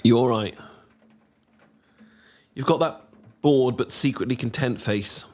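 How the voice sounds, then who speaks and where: A young British man in an intimate boyfriend roleplay, talking casually to the listener in a teasing, affectionate, sometimes halting and bashful way. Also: medium pitch. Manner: gently teasing, playful, affectionate. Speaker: audio roleplay performer.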